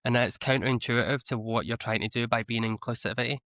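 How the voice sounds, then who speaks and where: A man's voice: medium pitch, steady tone, fast, fairly bright tone, no room sound. Earnest Scottish man, dry and dull. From Scotland. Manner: earnest, with frequent restarts and repeated words. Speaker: guest. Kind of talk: candid conversational interview. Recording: slightly dull, lo-fi audio.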